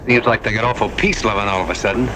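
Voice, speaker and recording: Male actor as wary cowboy rancher, lowish voice, terse, dry, noisy old soundtrack. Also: fairly even intonation, rapid-fire, natural voice. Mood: weary, curt. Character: wary, independent, laconic western rancher. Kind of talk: mid-century western drama acting.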